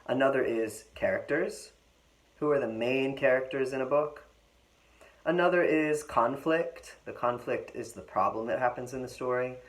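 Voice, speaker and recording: A woman teacher reading a storybook aloud in an expressive, explanatory manner with clear phrasing. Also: very deep voice, normal speed. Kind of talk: storybook read-aloud with short lesson asides.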